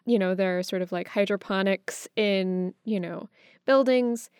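American woman podcast host, smooth clean voice, really dry sound, casual and reflective, kinda tentative questions. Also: mid-range voice, unhurried, darkish tone, no room sound. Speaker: interviewer, host. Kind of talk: casual conversational interview.

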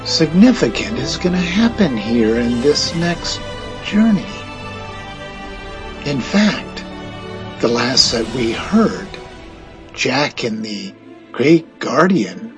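A man tells a storybook adventure at an unhurried pace, leaning into dramatic pauses and swells. His mid-range voice sits over a clearly audible music bed. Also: medium pitch. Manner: slow, dramatic storytelling cadence. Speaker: narrator.

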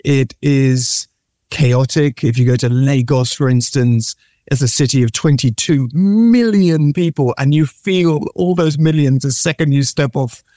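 A man's voice: medium pitch, a little slow, no echo, studio quality. A middle-aged man, born in Nigeria and raised in the UK, talking as a guest in a casual interview, full of repeats and restarts. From born in Nigeria, raised from age eight in the UK. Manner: animated storyteller with repeated words and restarts.

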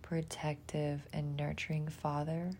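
A woman leading a guided imagery meditation in a soft, breathy, gentle voice, leaving long pauses between short, soothing phrases. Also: low-pitched, little pitch movement.

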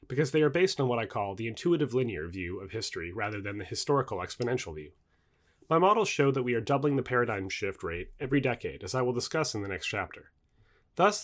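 Fast, animated man reading nonfiction aloud. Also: mid-range voice. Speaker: reader of a book aloud. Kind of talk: scripted narration, reading a nonfiction book.

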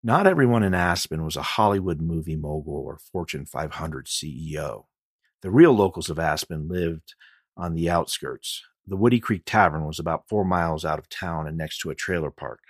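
A man with a low voice narrates a mystery story as an audiobook. The recording is dry, with hardly any room sound, and he reads from a script as the author. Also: animated, normal speed, dry recording. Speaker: author reading his own audiobook.

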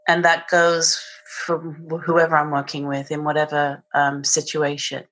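A woman's voice: deep voice, completely flat delivery, a little slow, a bit bright, poor audio. A woman with a British accent, a guest in a podcast interview, talking reflectively and searching for her words. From England. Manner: thoughtful, searching, restarts mid-phrase.

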